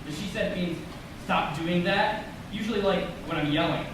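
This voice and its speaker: Guy acting anxious literal young man in a play, high voice, really echoey and far from mic. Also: moderate pace, close to an everyday voice. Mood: anxious, apologetic. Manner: literal, over-explaining, earnest. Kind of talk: live stage play acting. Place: theatre stage, recorded from a distance. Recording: very echoey noisy stage recording from afar.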